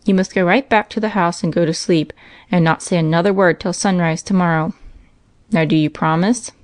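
A woman's voice: on the low side, steady tone, fairly quick, dry recording, clean studio sound, close to an everyday voice. A woman voicing a stern, guarded and uneasy man in a storybook. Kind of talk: storybook character voice.

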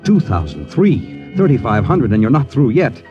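An actor playing an insinuating suspense narrator in a 1950s radio drama, speaking deliberately and ominously. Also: male voice, medium pitch, wildly swooping pitch, highs cut off. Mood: ominous, taunting. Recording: band-limited old radio recording, music behind.

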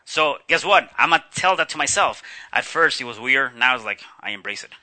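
A man speaking as a guest on a talk show, explaining his coaching practice. He talks haltingly, with lots of fillers and restarts. His voice is mid-pitched and very bright. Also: lively intonation. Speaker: interview guest, NLP practitioner. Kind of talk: casual interview explanation.

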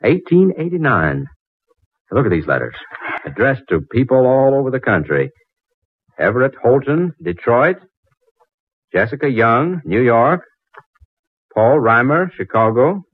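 An excitable, astonished fellow in an old radio drama, his pitch swinging widely. His delivery is urgent and theatrical, with a rough husky edge. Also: a little slow, pauses often, no room sound. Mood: excited, amazed. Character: excitable man astonished by a hidden find. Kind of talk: 1940s radio drama acting. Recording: muffled narrow-band 1940s radio recording.

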